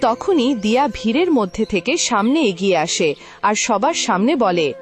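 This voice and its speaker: Female story narrator, animated intonation, scripted fairy tale with clear music bed. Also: a little high, a little slow.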